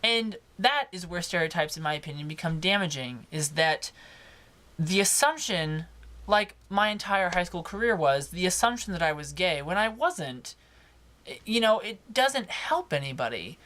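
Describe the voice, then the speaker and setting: A young man speaks in a naturally high voice for a male, chatting as a vlogger would. His delivery is casual and personal. He is expressive and leans on certain words, stretching them out for emphasis. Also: normal speed. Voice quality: higher-pitched voice for a man. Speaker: video blogger. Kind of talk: casual personal vlog talk to camera.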